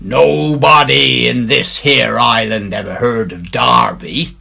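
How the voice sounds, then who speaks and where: Storybook pirate ringleader, a man performing, bold, emphatic, husky edge, slow salty drawl. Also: medium pitch, fairly even intonation, sharp tone, voice pushed up, full character voice. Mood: defiant. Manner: salty dialect, emphatic and drawn-out. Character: bold, defiant pirate ringleader, shrewd and commanding.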